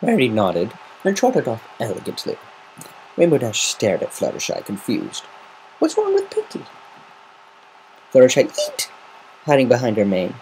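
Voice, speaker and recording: A man's voice: mid-range voice, sing-song, moderate pace, a little mellow, slight background noise, poor audio. A man narrating a story as an amateur semi-dramatic reading. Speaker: amateur fan fiction reader.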